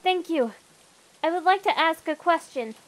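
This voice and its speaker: A woman playing an insistent, challenging news reporter, pressing and indignant, in an animated comic dub. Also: very high-pitched, moderate pace, a little hiss or hum.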